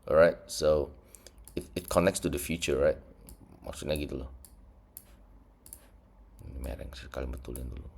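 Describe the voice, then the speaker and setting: Guy with a stuffed-up nose, super low voice, chill chart walkthrough, drops english trading words. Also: normal speed, vocal fry, long pauses, a little mellow, breathy edge. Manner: mixes English trading terms into casual talk. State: congested nose. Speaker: trading teacher. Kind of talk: screen-share chart tutorial.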